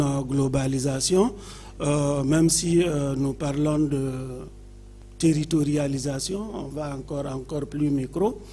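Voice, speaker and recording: A man from Niger with a Nigerien accent, speaking off the cuff as an adviser at a seminar, phrasing his points deliberately with frequent pauses. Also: on the high side, mellow, a little hiss or hum. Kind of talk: seminar intervention, unscripted public speaking.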